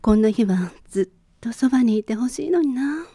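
A woman's voice: fairly high pitch, lively intonation, fairly quick, mellow, breathy edge, no room sound. A woman playing a lovelorn, proud woman who clings to her lover in an amateur romantic radio drama. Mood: lonely. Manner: wistful, putting on a brave front.

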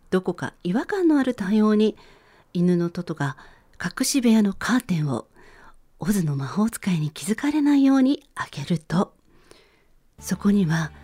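Expressive woman storyteller, mellow voice over music. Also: mid-range voice, husky. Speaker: podcast host and narrator. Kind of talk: scripted story synopsis read in radio-drama style.

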